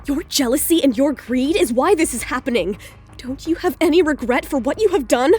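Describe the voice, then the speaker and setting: A high, emotional young woman's voice, wronged but defiant. She plays a desperate mother in a melodramatic audio drama, with faint music underneath. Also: expressive, fairly quick, a little mellow. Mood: angry, accusing.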